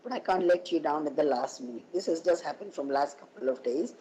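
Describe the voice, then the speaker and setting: A woman with a husky voice, hoarse from a cold, giving a halting academic keynote over an online call. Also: deep voice, mellow, slight background noise, low-quality recording. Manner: halting, with many fillers and restarts. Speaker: keynote speaker.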